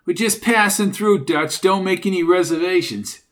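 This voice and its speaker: Short-tempered, suspicious male crook acted by a man reading a story, raised pitch, snappy, slangy delivery. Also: normal speed, natural voice. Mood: sarcastic, impatient. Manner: blunt, snappy, slangy tough talk.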